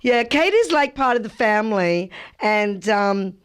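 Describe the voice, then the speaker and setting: A woman hosting an interview show, loud and rambling, often cutting herself off mid-sentence. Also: mid-range voice, lively intonation, unhurried, clear, resonant tone. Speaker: interview host. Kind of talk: casual interview.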